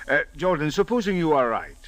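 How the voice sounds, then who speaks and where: An actor playing a calm, skeptical Cairo police captain in old radio drama, formal and measured. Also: male voice, a little high, no room sound. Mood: dry skepticism. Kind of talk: 1940s-50s radio drama acting. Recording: vintage radio recording.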